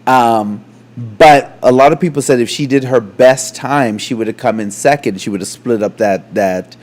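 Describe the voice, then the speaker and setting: A man's voice: medium pitch, normal speed. A man from Canada co-hosting a casual podcast, telling stories with laughter and acting out the quotes. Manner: animated, laughing storyteller who acts out quotes. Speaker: podcast co-host. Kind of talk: casual chat.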